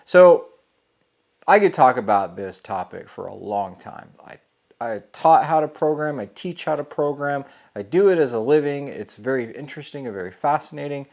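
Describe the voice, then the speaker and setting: A middle-aged man talks through his thoughts on a solo podcast. He rambles in an easy, mid-pitched voice, full of "uh" and "you know" and frequent restarts. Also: lively intonation, moderate pace, vocal fry, darkish tone. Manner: thinking aloud, many fillers and restarts. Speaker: podcast host; programming teacher.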